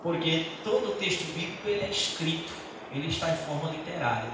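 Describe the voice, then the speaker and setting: A middle-aged man from Brazil, a pastor giving an earnest personal testimony in a church teaching talk, with halting restarts. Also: fairly high pitch, expressive. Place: church hall. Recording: echoey church hall from far off, noisy.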